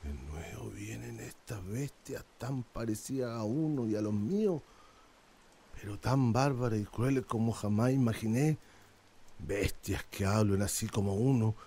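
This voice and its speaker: Haunted, wary lone shellfish gatherer. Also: male voice, on the low side, mellow, breathy edge. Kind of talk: radio drama acting.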